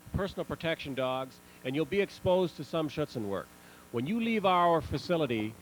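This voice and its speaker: A man reading scripted promotional narration in a measured, instructional manner. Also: a little high. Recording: noisy old VHS tape transfer.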